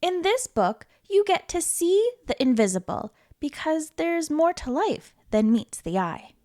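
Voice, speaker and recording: Very animated high-voiced woman reading to kids. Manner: expressive, playful, with questions to the listener and dramatic pauses. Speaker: storytime host reading a children's book aloud. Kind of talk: animated children's read-aloud.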